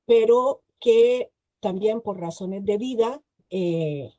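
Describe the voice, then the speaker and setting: Slow, hesitant woman with a dark voice. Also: medium pitch, no echo. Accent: Costa Rican. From Chile. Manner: hesitant, many filler sounds. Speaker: guest speaker. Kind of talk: virtual forum presentation.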